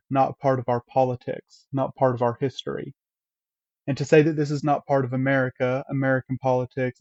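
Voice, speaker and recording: Man reading an essay, measured and even, muffled dry sound. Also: mid-range voice, no echo. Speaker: reader at a church table reading.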